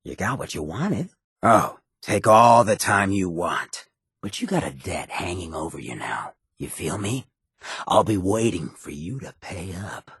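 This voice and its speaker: A swaggering undead outlaw leader for a video game, with a badly rasped, gravelly voice. He talks in a sly streetwise drawl that swings lively up and down, close and dry. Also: low voice, measured pace, no room sound, exaggerated voice. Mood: quietly menacing. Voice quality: gravelly, raspy. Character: charismatic irradiated ghoul mayor, roguish, swaggering outlaw leader. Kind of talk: video game companion dialogue.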